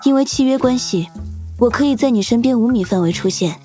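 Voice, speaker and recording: A woman voicing a dutiful, literal-minded guardian spirit in an animated drama, polite and system-like in phrasing. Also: a little high, a little fast, soft background music, lightly acted. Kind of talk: animated audio drama acting.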